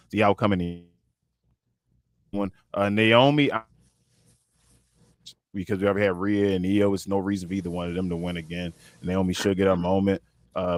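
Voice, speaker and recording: Man co-host with a very deep, dry, close voice and a relaxed, meandering delivery. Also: no room sound. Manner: laid-back, rambling, many fillers and restarts. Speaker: podcast co-host. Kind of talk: casual panel chat.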